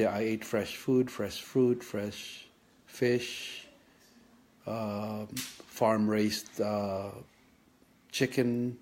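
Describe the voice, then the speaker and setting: A man, recalling his childhood in a reflective personal vlog, thinking aloud with many pauses and restarts. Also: on the low side, a little mellow. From Philippines. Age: 50s. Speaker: vlogger sharing his kidney story.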